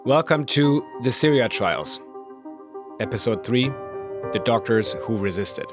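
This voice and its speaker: A man hosting and narrating a documentary podcast in a measured, grave, explanatory way. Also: medium pitch, animated, music in the background.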